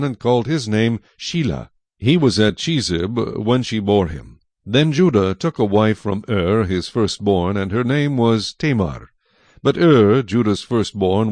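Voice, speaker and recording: A man's voice: lowish voice, darkish tone, no echo. A man reading scripture as the narrator of a dramatized audio Bible.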